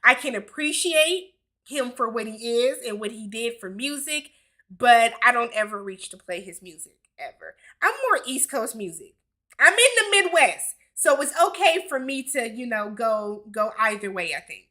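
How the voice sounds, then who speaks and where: Chatty woman host in her forties, bright and slightly high voice, loose livestream Q&A. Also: lively intonation, moderate pace. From Milwaukee, Wisconsin. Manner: chatty, laughs often, reads viewer comments aloud. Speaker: YouTube host. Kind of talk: casual livestream Q&A chat.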